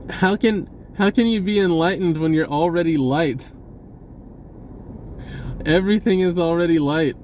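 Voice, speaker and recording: Rambling, higher-voiced man over background noise. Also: darkish tone. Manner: rambling, halting, often repeating words. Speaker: spiritual video blogger. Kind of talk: casual unscripted monologue to viewers.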